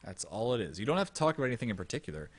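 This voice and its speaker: A man's voice: mid-range voice, big pitch swings, racing. A young man casting a live video game match, joking and rambling while losing his voice. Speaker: esports caster.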